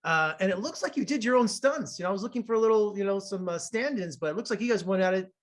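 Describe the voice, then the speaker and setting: A man from Las Vegas, a film critic, interviewing an actor. He talks casually and warmly praises the cast, with many fillers. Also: sits high, lively intonation, fast talker. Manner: effusive and complimentary, with fillers.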